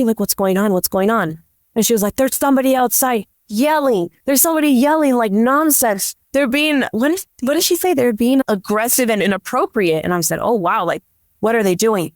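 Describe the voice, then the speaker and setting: A chatty woman with a fairly high voice talks as a podcast guest. She tells stories casually and informally, slipping often into quoted dialogue. The recording is dry, with little room sound. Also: no echo. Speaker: podcast guest, police dispatcher. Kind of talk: casual anecdotal storytelling.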